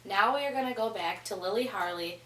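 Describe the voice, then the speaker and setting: A woman's voice: medium pitch, a little fast, noisy background, natural voice. Woman as echoey 1920s news anchor. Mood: matter-of-fact. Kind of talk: scripted amateur mock newscast. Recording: roomy distant mic, faint music.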